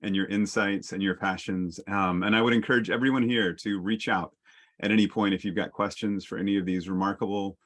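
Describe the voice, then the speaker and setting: A man's voice: on the low side, fairly even intonation, fairly quick. A man moderating a panel discussion over a video call, wrapping up with thanks to the guests.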